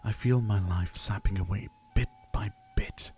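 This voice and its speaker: A man playing a gentle, ailing pharmacist doctor in an ASMR roleplay, soft-spoken and theatrical, with courteous, wistful phrasing and sighs. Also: on the low side, normal speed, soft background music, lightly acted. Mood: weary, wistful.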